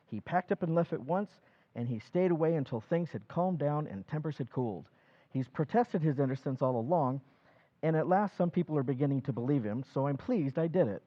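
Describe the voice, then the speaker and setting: An actor playing an anxious, guilt-ridden small-town husband who talks earnestly and confidingly, in an old-time radio play style. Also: male voice, a little high, animated, brisk, natural voice. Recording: dull lo-fi radio-play recording.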